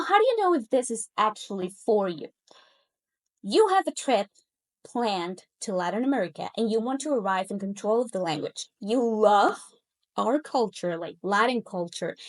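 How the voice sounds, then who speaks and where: A woman in her thirties from Colombia, a native Spanish speaker with a Colombian accent, giving an upbeat, persuasive webinar pitch about learning languages. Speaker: Spanish coach. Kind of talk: webinar pitch and personal story.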